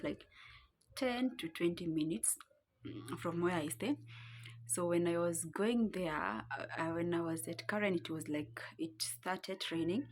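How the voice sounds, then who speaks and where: A woman's voice: lowish voice, a little hiss or hum. A young woman with a Kenyan accent telling a casual vlog story from her home, rambling as she searches for words. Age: young adult. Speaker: vlogger. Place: her home, seated on the floor.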